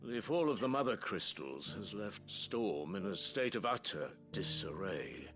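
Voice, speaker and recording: A courtly, deferential steward reports grave news in a video game. His delivery rises and falls with lively inflection, formal and solemn. Game music plays clearly under him. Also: sits low, unhurried, heavily acted. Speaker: game character.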